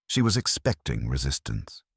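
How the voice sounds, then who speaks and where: A man's voice: extremely low pitch, very animated, moderate pace, breathy edge, no echo, clean studio sound. A man reading scripted first-person detective fiction with wry, clipped storytelling. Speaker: audiobook narrator in the part of a wry detective's assistant. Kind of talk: scripted first-person hardboiled mystery narration.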